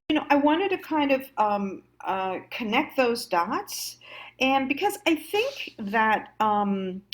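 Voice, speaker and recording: An American woman giving a reflective monologue as a host, musing haltingly and stressing key words. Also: mid-range voice. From United States. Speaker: host of a personal storytime show.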